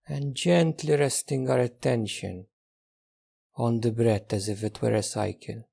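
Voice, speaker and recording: A man guides a meditation with gentle, unhurried instructions. His voice is husky and dark, with a gravelly creak at the edges. He leaves long pauses between short phrases. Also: medium pitch.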